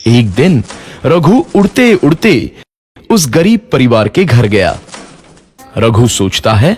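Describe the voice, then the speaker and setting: Lively male storybook narrator. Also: mid-range voice, slow pace, slightly rough, faint music behind.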